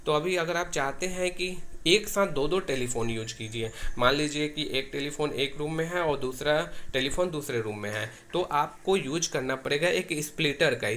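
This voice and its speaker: A man's voice: a little high, a bit bright, slight background noise. An Indian man giving an informal hands-on tutorial, explaining step by step and often repeating himself. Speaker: tech tutorial presenter.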